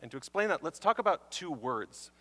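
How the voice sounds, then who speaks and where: A man's voice: fairly high pitch, brisk. A young man telling self-mocking stories in a stage talk. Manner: animated and self-mocking storytelling. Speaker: speaker. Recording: long hall reverb.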